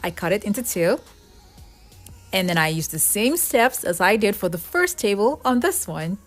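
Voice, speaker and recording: A woman narrates a do-it-yourself craft video in a medium-pitched voice. She explains things step by step as a tutorial host. Music plays behind her voice-over. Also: big pitch swings, moderate pace. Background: background music.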